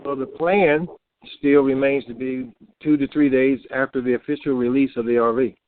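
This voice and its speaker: A man's voice: medium pitch, fairly even intonation, moderate pace. A man co-hosting an informal conference call, answering questions over the telephone. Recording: dry narrowband phone line.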